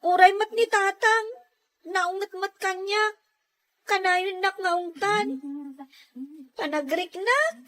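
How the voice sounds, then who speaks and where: Family drama role: overworked, timid young girl played by a woman. Piercingly high, bright, childlike and plaintive. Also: takes it slowly, long pauses, heavily acted. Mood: fearful, pleading. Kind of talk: Ilocano audio-visual family drama acting.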